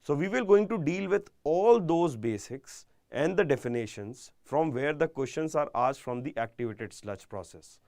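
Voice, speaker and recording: A man with an Indian accent giving a live online exam-prep engineering lecture, speaking deliberately and emphatically and repeating key phrases. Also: a little high, expressive, creaky, darkish tone, no room sound, studio quality.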